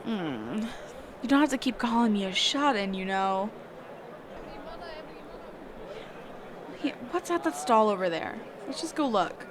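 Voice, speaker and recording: A woman voicing a reclusive, socially awkward mage teacher in a roleplay audio, speaking hesitantly and evasively with trailing pauses and hums. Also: medium pitch, very animated, noisy background, lightly acted. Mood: mildly grumpy. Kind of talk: character roleplay audio, one side of a dialogue.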